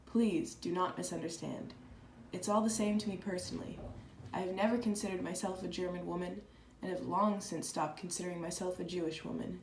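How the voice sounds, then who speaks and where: A woman playing an earnest, proud and candid letter writer in a dramatized reading, speaking reflectively and deliberately. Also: fairly low pitch, steady tone, a little mellow, close to an everyday voice. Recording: echoey room with clear background noise.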